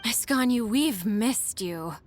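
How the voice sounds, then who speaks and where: A woman playing a weary, adoring young office secretary in an anime-style game, reverent and drawn-out, with music behind. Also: a little high, lively intonation, a little hiss or hum.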